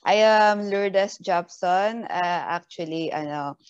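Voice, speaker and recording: A woman from Benguet in the Philippines talks on a video call. She speaks slowly and pauses to search for words, mixing languages. The audio comes through dull and muffled. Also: on the low side, clear, resonant tone. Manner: unhurried, searching for words, code-switching. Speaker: community member guest. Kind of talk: casual online panel talk.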